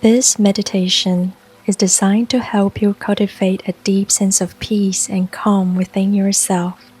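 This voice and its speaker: Slow, even-toned woman guiding meditation. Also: mid-range voice, husky. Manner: calm, soothing, unhurried delivery with long pauses. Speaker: guided meditation host. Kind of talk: scripted guided meditation narration. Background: soft music and rain sounds.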